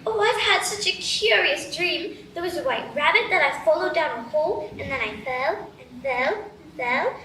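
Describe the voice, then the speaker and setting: A woman's voice: high voice, normal speed, hardly any pauses, bright, forceful tone. A woman voicing a curious, spirited young girl in a live radio play. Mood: excited. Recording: echoey stage, distant mic, noisy.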